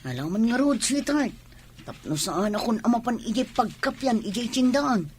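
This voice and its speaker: A woman playing a devoted, hardworking young spouse with a husky voice and an Ilocano accent in a radio drama. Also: a little high, animated, normal speed, a little mellow, a little hiss or hum, lightly acted. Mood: affectionate, practical.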